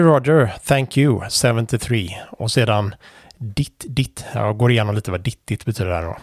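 A man calmly explaining Morse code conventions in a casual tutorial, pausing often with fillers and restarts. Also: medium pitch, vocal fry. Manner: relaxed, hesitant with frequent fillers and restarts. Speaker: amateur radio instructor.

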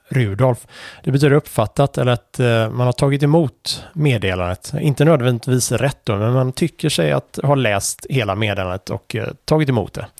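A man calmly explaining Morse code conventions in a casual tutorial, pausing often with fillers and restarts. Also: medium pitch, dry recording, clean studio sound. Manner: relaxed, hesitant with frequent fillers and restarts. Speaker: amateur radio instructor.

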